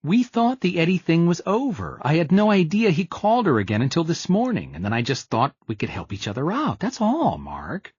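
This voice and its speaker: A man's voice: a little high, wildly swooping pitch, a little fast, hardly any pauses, a little mellow, husky, no echo, highs cut off, pitched above the performer's own voice, heavily acted. Man putting on a jittery pleading hustler. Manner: pleading, insistent. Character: jittery fidgety male hustler friend, pleading.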